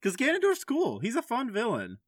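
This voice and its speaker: A man's voice: very high-pitched, huge pitch swings, brisk, no echo. Man chatting frankly, close dry sound. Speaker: podcast host. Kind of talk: casual podcast chat.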